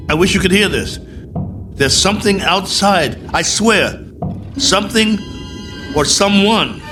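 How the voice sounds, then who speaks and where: An actor playing a stranded, injured astronaut colonel in a radio drama, weary and stubborn, with a clipped, dryly sardonic way of talking. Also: male voice, sits high. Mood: alarmed, urgent.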